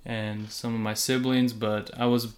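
A man's voice: medium pitch, little pitch movement, a little slow. A young American-born man co-hosting a casual faith podcast, easygoing and hedging his words. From United States. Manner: easygoing, hedging with "you know" and "I guess". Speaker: podcast co-host. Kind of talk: casual religious podcast talk.

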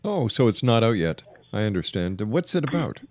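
A man's voice: lowish voice, very animated, normal speed, dry recording. A man hosting a radio interview, putting questions to a guest and addressing listeners. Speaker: radio host.

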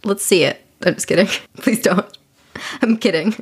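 A young woman hosting a podcast, chatting casually with dry, deadpan quips. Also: medium pitch, moderate pace, very noisy. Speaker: podcast host. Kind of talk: casual comedic chat.

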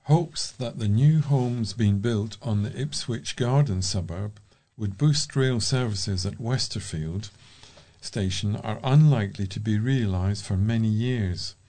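A man's voice: lowish voice, measured pace, dark tone. A man reading local news items aloud as a volunteer newsreader.

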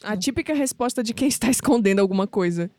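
A woman's voice: mid-range voice, lively intonation, fast talker, a little mellow, no room sound, clean studio sound, natural voice. An actress with a Brazilian accent playing an independent, skeptical, wry young woman in an audio drama. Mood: suspicious. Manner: wry, questioning.

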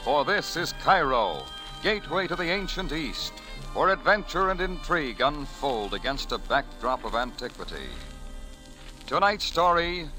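A man's voice: a little high, big pitch swings, a little slow, bright, forceful tone, soft background music. A man announcing in formal, declamatory 1940s radio style, reading scripted dramatic narration.

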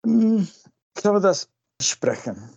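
A man from Peru, a native Spanish speaker with a Peruvian Spanish accent, answering interview questions informally and haltingly, searching for words. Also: high-pitched, sing-song, creaky, warm tone, slightly rough. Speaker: interview guest. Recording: dull lo-fi sound.

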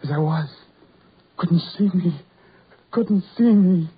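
An actor playing a tormented, lovelorn, self-loathing man in a melodramatic 1940s radio horror drama, brooding and halting in delivery. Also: a little high, breathy edge, heavily acted. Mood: anguished. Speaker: radio actor, lead and narrator. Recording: muffled, noisy 1940s radio recording.

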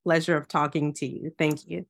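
A woman from Ghana, a Bible teacher, telling her story in an earnest, measured way in an interview. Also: deep voice, flat delivery, vocal fry, dry recording. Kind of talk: interview, personal testimony.

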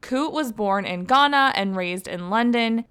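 A woman's voice: a little high, expressive, unhurried, fairly bright tone, clear, resonant tone. A woman hosting a podcast, reading a guest introduction and then asking questions in a chatty, upbeat way. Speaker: podcast host. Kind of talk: podcast interview, scripted intro.

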